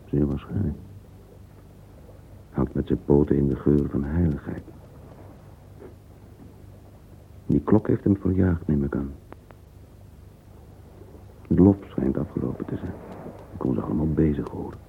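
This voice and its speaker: An actor playing a wistful middle-aged man lost in thought, murmuring a halting interior monologue in a radio play. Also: rumbling low, gravelly. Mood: curious, musing. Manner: murmuring, halting, fragmentary musing, sometimes whispered. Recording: narrow-band lo-fi old radio recording, hissy.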